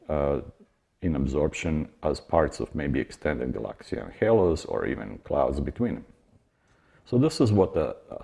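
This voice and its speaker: A man giving an academic science lecture as a university lecturer, explaining in a measured way with informal asides. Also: very deep voice, vocal fry, mellow, breathy edge.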